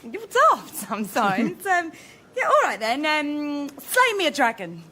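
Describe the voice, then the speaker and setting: Stubborn teasing girlfriend, dry but swoopy, high bright voice, radio comedy. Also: measured pace, exaggerated voice. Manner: deadpan, matter-of-fact, teasing. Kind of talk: radio sketch comedy dialogue.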